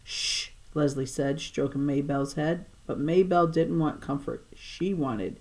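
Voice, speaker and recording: A woman, a teacher reading aloud, giving expressive storybook narration for children. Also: low-pitched, normal speed, a little hiss or hum.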